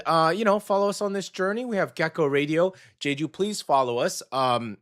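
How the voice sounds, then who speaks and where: A man hosts a morning radio show, his mid-pitched voice hoarse and a bit gravelly after a weekend out. He talks in an upbeat, chatty way, full of ums and uhs. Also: fairly bright tone. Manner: upbeat and chatty, with many fillers. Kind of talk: casual radio chat.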